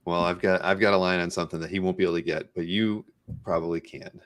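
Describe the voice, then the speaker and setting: A man's voice: low-pitched, steady tone, fast, fairly bright tone. A man hosting a live interview show in a casual, chatty style.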